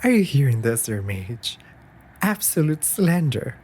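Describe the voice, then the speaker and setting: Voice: medium pitch, sing-song, unhurried, breathy edge. A performer playing a playful, affectionate raven familiar in an intimate comfort audio roleplay, with teasing, mock-offended delivery. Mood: cheeky teasing. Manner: teasing, mock-offended, breaking into soft murmurs.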